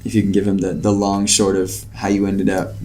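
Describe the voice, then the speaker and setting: Laid-back low-voiced male host. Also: completely flat delivery, fairly quick, darkish tone. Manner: laid-back, slangy, with many fillers. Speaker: podcast host. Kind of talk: casual interview conversation.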